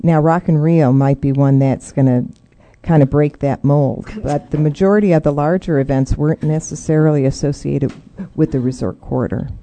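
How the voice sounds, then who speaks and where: A staff member explaining a proposal to a board during a public meeting, in a measured, explanatory way. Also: medium pitch, warm tone.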